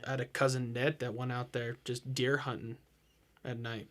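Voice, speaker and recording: Brief: a man doing a noncommittal guest, bright-toned, mumbling and unsure. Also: medium pitch, little pitch movement, normal speed, natural voice. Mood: hesitant. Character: unsure young male podcast host guest.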